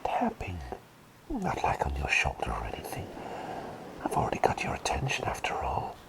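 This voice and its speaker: A man playing a gentle, curious masked plague doctor in a whispered ASMR roleplay, breathy, playful and polite. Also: low-pitched, huge pitch swings, moderate pace, poor audio. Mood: soothing, playful. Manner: soothing, playful, polite murmuring.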